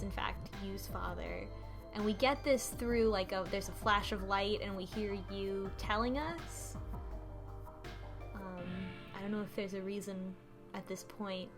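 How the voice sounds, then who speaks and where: Woman casually recapping a story over music. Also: mid-range voice. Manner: rambling, conversational, quotes characters informally. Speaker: podcast host recapping a story. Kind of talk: casual book club discussion, retelling a visual novel.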